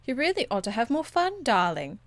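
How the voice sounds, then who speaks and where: A woman's voice: a little high, very animated, a little fast, no echo. A woman voicing a proud, elegant, condescending court enchantress in a video game, with polished, haughty phrasing. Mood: amused, superior. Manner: haughty, polished, drawling endearments. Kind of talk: video game dialogue acting.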